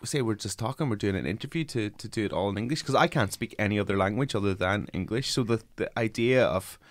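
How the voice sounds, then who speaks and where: A man's voice: fairly low pitch, fast talker, no echo. A male podcast host in a small studio, chatting in a jokey, rambling way and laughing often. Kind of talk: casual interview chat. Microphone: studio mic.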